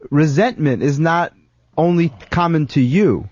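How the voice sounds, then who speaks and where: A man's voice: fairly high pitch, lively intonation, a little slow, a little mellow, dry recording, lo-fi sound. An American man on a radio talk show, bantering casually and insisting defensively on his point, often repeating himself.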